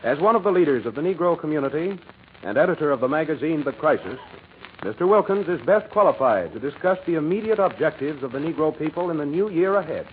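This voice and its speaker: A man's voice: fairly high pitch, animated, fast talker. An American man hosting in a formal, ceremonious and polished 1940s radio announcing style. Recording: tinny, hissy 1940s radio broadcast.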